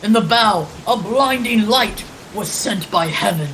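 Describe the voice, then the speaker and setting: A fearful, pious sailor acted by a young man in an old-style radio play. The voice is high for a man, with slight noise behind it. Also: animated, unhurried, pushed and bright, exaggerated voice, low-quality recording. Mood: alarmed. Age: young adult. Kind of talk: old-time radio drama acting.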